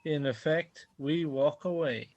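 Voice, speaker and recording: Guy acting husband explaining stuff in a radio drama, highish voice, dry close sound, matter of fact. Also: takes it slowly, a little mellow, no room sound. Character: matter-of-fact husband bringing bad news. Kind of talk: amateur radio play acting.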